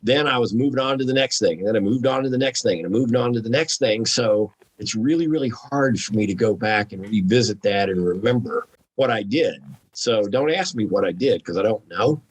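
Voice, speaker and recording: Candid man, slightly husky voice. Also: fairly low pitch, fairly quick, low-quality recording. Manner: candid, self-deprecating, rambling. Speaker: guest author. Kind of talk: casual chat about own writing.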